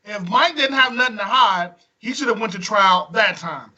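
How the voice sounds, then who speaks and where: A hoarse man co-hosting a casual talk show, joking and jumping in with quick remarks. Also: high voice, highs cut off. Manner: joking, animated, quick to interject. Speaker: co-host. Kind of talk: casual podcast chat.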